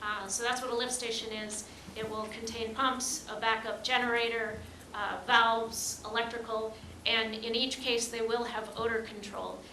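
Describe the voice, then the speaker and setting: Flat-voiced woman explaining at a meeting. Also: fairly high pitch, normal speed. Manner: matter-of-fact, explanatory. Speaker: town wastewater manager. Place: town meeting room. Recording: echoey noisy meeting room recording.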